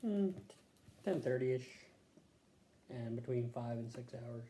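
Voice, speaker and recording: A man answering health questions as a patient, casual and matter-of-fact, pausing to think in short answers. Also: mid-range voice, expressive, warm tone. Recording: echoey room with faint background noise.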